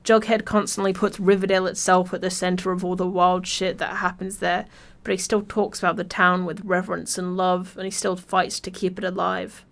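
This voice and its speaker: A woman's voice: on the low side, flat delivery, moderate pace, gravelly, a bit bright. A woman from Birmingham with an English accent reads a scripted video essay in a wry, informal, sweary way. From Birmingham, England.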